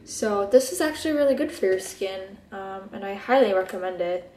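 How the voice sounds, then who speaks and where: A woman's voice: medium pitch, expressive, normal speed, dark tone, a little hiss or hum. A sleepy young woman in her twenties whose first language is Hebrew, chatting in a laid-back way in her bedroom while doing her makeup. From Israel. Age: 20s. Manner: laid-back and chatty, many ums and likes. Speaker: beauty vlogger. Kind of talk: casual get-ready-with-me chat. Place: bedroom, indoors. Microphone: camera at close desk distance. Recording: echoey bedroom recording.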